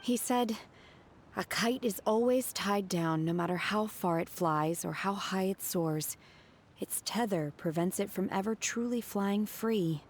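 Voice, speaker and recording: A woman playing a solemn, reserved young woman in a fantasy game, speaking earnestly and deliberately. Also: mid-range voice, darkish tone, slight background noise. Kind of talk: fantasy game cutscene acting.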